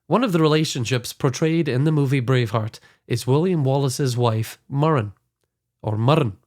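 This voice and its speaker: A man, slightly hoarse, hosting a podcast and reading a scripted introduction with earnest enthusiasm. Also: mid-range voice, normal speed, a little mellow. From Scotland. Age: 40s. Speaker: podcast host.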